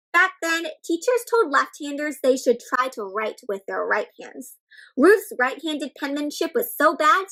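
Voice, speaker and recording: High-pitched woman reading expressively to kids. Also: rough recording. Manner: expressive, with exaggerated emphasis and frequent questions to young listeners. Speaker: children's read-aloud host. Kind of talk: interactive picture-book read-aloud for children.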